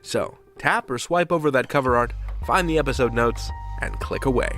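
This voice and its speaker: A man's voice: mid-range voice, moderate pace, fairly bright tone, soft background music. A man hosting a podcast in a chatty, enthusiastic, conversational style. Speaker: podcast host.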